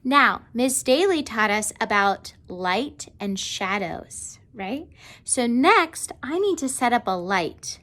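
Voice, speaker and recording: A woman's voice: a little high, big pitch swings, slow, sharp tone. A woman giving a step-by-step how-to demonstration for children, talking simply like a teacher and often checking in with little questions. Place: indoors at home.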